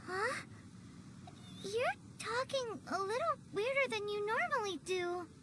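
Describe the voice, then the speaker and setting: A tiny fairy companion from an anime game, squeaky and extremely high. The delivery is excitable and blunt, swinging widely in pitch over clearly audible game ambience. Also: female voice, takes it slowly, noisy background, exaggerated voice. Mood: baffled. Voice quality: squeaky, childlike. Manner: exclamatory, indignant questioning.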